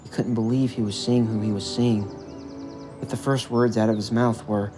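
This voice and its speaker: A man's voice: on the low side, level tone, moderate pace. A man reading a scripted story as its narrator, with music behind his voice.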